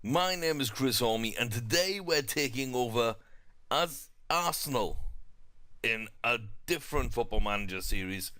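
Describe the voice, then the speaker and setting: A man's voice: medium pitch, expressive, unhurried, pushed and bright, no echo. Young south welsh guy rambling about his football manager save, lots of ums, dry recording. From South Wales. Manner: chatty, rambling with fillers and restarts. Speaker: gaming YouTuber. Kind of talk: casual game commentary.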